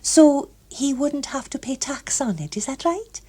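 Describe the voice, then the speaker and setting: A woman reader acts out a naive young woman in an audiobook. She is polite and a little hesitant, keen to agree. Her pitch is raised and lilts up and down. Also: animated, measured pace, dark tone. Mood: agreeable, unsure. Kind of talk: audiobook character voice.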